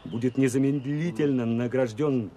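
An actor playing an earnest army officer in a radio play, giving halting, insistent instructions to soldiers. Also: male voice, medium pitch, warm tone, slight background noise, close to an everyday voice. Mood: earnest, instructing. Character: earnest Soviet officer briefing soldiers on a search. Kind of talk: Russian radio play acting.

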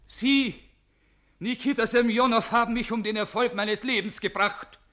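An actor playing a vain, self-important, scornful Russian gentleman in a theatrical radio play. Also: very high-pitched, fairly even intonation, moderate pace. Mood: scornful outrage.

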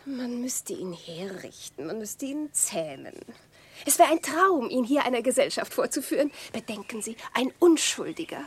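A frivolous young countess in a radio drama. Her highish, breathy voice swoops up and down in affected society chatter, gushing and hungry for sensation, in a very dry recording. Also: big pitch swings, normal speed, heavily acted. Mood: affected, playful. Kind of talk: German radio play acting.

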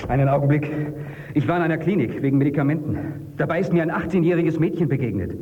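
Husky, stern outsider. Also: male voice, fairly high pitch, lively intonation, fast talker. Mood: urgent. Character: stern, principled male outsider, guarded. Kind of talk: radio drama acting. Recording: dull, lo-fi radio sound.

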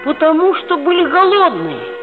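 High-voiced schoolboy, tinny old radio recording. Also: female voice, normal speed, music in the background. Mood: wry storytelling. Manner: mock-serious, expressive. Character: schoolboy narrator, earnest, mischievous seventh-grader. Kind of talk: radio play narration, storytelling.

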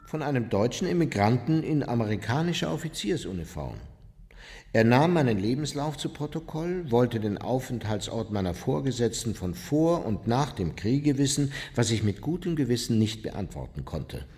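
An unrepentant male war criminal in a radio drama. His mid-pitched voice is husky, and he recounts his past in measured, formal sentences without emotion. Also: big pitch swings, warm tone. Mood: matter-of-fact. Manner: measured, matter-of-fact, formal. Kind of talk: radio play first-person memoir narration.

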